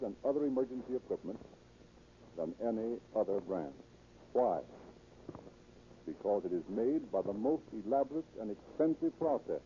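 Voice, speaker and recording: A man's voice: mid-range voice, mellow. A man narrating as a formal, declamatory announcer in 1930s scripted radio style. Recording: muffled, noisy 1930s radio recording.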